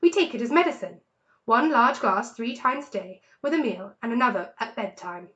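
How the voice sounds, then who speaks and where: A hearty storybook badger acted by a woman reader, excitable and full of relish. The voice sits fairly high and swells with feeling. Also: moderate pace, highs cut off. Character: hearty, excitable male badger, fond of drink.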